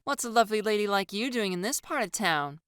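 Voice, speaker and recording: A woman's voice: medium pitch, expressive, fast, a bit bright, smooth, dry recording, studio quality, close to an everyday voice. A woman voicing a playful, pleading girl in an audiobook, with a theatrical, teasing, slangy way of talking. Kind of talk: audiobook character dialogue.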